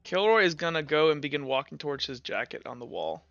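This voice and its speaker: A man working as game master, narrating and explaining casually in a halting, informal way. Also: fairly high pitch, lively intonation, creaky, a bit bright, no echo. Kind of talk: casual tabletop roleplay narration. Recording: dull, lo-fi with music behind. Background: music.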